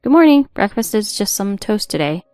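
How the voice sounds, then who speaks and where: A woman's voice: mid-range voice, very animated, moderate pace, a little mellow, dry recording, natural voice. A woman playing a polite, composed young wife and new mother in a scripted audio story. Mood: polite, innocent.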